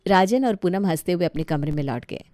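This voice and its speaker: A woman's voice: fairly low pitch, expressive, brisk, mellow, no room sound, clean studio sound. A woman narrating a scripted family comedy story as a storyteller.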